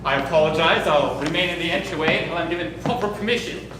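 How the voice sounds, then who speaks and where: A man's voice: on the high side, fast, noisy background, lightly acted. A man playing a grim, formal stranger searching for his missing brother and seeking revenge, in an improvised stage thriller. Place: theater stage. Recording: echoey live theater with music.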